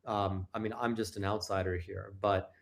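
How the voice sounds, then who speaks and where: A Canadian man giving interview answers as a guest, measured and hedging his points. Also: on the low side, little pitch movement, creaky.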